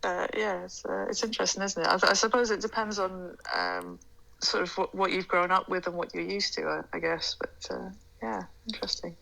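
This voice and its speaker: A woman's voice: fairly low pitch, lively intonation, normal speed, vocal fry, pushed and bright, poor audio. A British woman, a guest on an interview podcast, chatting casually and hesitantly, often repeating words as she begins. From United Kingdom. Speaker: podcast guest, ASMR creator.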